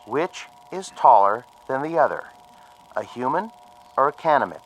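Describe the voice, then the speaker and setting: Guy playing formal official quizmaster type, radio drama, very lively pitch, deliberate, slight noise. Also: lightly acted, poor audio. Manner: deliberate, ceremonious. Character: formal, exacting official questioner at an assembly. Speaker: official presiding over a demonstration.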